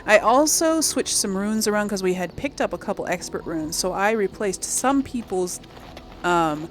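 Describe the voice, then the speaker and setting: A woman's voice: fairly low pitch, a little hiss or hum. A woman hosting a let's play, chatting casually to her viewers in an informal, playful way. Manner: chatty, addresses viewers, giggly asides. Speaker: gaming video host.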